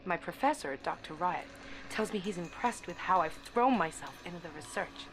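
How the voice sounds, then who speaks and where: A woman's voice: on the low side, animated, normal speed, close to an everyday voice. A woman playing an eager, naive young university student confiding earnestly in an audio drama monologue. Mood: keen, earnest. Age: young adult. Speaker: actor. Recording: clear background ambient noise.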